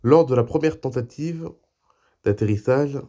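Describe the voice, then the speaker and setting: A man with a cold, speaking softly as the host of an online aviation news show, reading articles and adding casual asides. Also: medium pitch, unhurried. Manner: reads articles, then adds loose spoken asides.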